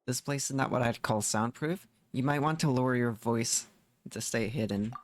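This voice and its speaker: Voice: mid-range voice, moderate pace, no room sound. A game player reading dialogue aloud and reacting during a playthrough.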